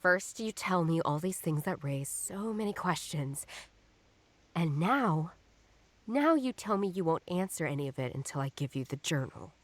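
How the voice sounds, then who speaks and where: A tough ex-cop detective for a noir game. Her voice sits very low for a woman and sounds close and dry. She speaks bluntly and challenges whoever she faces. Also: lively intonation, normal speed, no echo. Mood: defiant. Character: tough, defiant female ex-police detective on her own case. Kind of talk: scripted noir video game voice acting.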